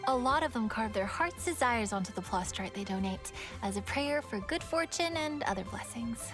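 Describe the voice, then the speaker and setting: A woman's voice: a little high, lively intonation, moderate pace, music in the background, close to an everyday voice. A woman playing a friendly, businesslike young festival organizer in an anime video game, explaining things politely.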